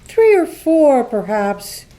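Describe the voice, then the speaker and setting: A woman playing a solemn, questioning Christmas spirit in a radio play, speaking in a measured way. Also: high voice, very animated, warm tone, exaggerated voice. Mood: dryly provoking. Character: solemn, probing Christmas spirit guiding an old miser.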